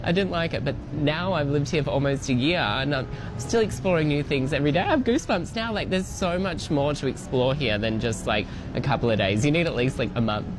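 A man's voice: fairly high pitch, fairly quick, hardly any pauses. A young man answering interview questions in a chatty way, often saying "like" and laughing. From Australia. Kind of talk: casual interview. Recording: city street noise behind.

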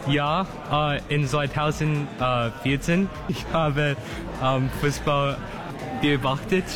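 A man's voice: on the high side, fairly even intonation, slow. A young man from Hong Kong with a foreign accent in German, not his native language, answering casually and hesitantly in an interview. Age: young adult. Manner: hesitant, word-by-word phrasing. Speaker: student being interviewed. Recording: loud music and crowd noise. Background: loud music and crowd.

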